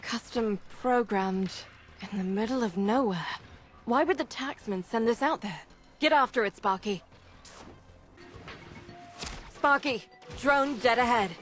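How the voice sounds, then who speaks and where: A tough female resistance leader, played by an actress, giving dry, commanding orders in a game mission. Her voice sits fairly high, with light music underneath. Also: moderate pace, lightly acted. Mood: urgent, commanding. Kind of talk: video game mission briefing.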